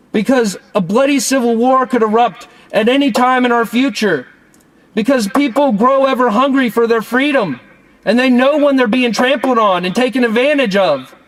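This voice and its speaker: A man's voice: very high-pitched, normal speed, low-quality recording. An American man making a declamatory, legalistic protest speech through a megaphone from a parked car. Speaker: activist vlogger. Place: parked car at night outside a city building.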